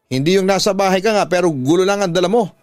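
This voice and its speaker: A man's voice: high-pitched, fast, no room sound, pitched above the performer's own voice, lightly acted. A man acts out a stern older Filipino father in a radio drama. He is harsh and unyielding, scolding and giving orders in a pushed-up, raised voice with a dry sound. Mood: angry, commanding. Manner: scolding, commanding.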